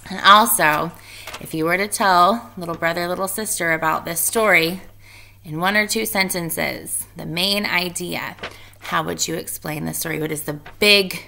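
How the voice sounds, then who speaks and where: A woman's voice: on the low side, normal speed, gravelly, bright, forceful tone, a little hiss or hum. A woman reading aloud to children and explaining informally, like a teacher.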